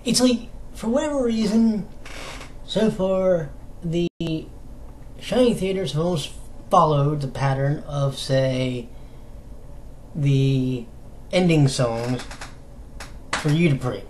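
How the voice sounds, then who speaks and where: An American man giving casual fan news commentary as a solo video host, in a halting, conversational manner with rhetorical questions. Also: on the high side, darkish tone. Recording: noticeable background noise.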